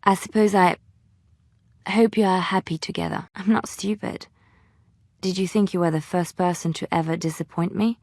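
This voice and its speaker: Gentle young bard lady for a fantasy game, earnest, wistful, pauses to think, dry close sound. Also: lowish voice, fairly even intonation, gravelly, no room sound. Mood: hurt, awkward. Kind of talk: dramatic fantasy game dialogue acting.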